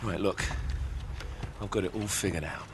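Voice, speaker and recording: An actor playing a cocky, smooth-talking British thief in his thirties, breezy and persuasive, in a video game. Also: low-pitched, steady tone, normal speed, background noise. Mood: breezy, confident. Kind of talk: cinematic video game acting. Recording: music under the dialogue. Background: background music.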